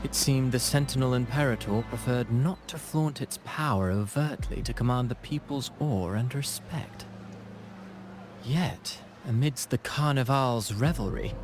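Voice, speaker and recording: A man's voice: mid-range voice, a little slow, over music, lightly acted. A man playing a courteous, eloquent playwright in a video game, speaking in ornate, formal, storytelling phrases with a humble air. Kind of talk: video game character dialogue.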